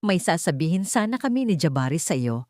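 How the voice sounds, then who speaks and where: A woman's voice: fairly low pitch, sing-song, brisk, warm tone, no room sound, studio quality. A woman voicing a scheming, smug young mistress with a condescending manner in an audio drama. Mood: smugly triumphant. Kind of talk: audio drama character dialogue.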